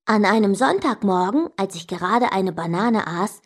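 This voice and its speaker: A woman's voice: mid-range voice, normal speed, husky. A woman voicing an indignant young child who tells stories about an older sister, expressively and straight to the listener. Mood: confiding, indignant. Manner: expressive, emphatic, addressing the listener directly. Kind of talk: scripted children's story narration.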